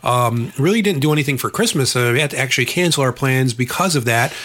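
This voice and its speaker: A man's voice: medium pitch, quick pace, a little hiss or hum. A man hosting a polka radio show, sounding congested and nasal from an illness, with an easygoing delivery full of "uh"s. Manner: relaxed, filler-heavy, hesitant delivery. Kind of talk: radio DJ patter.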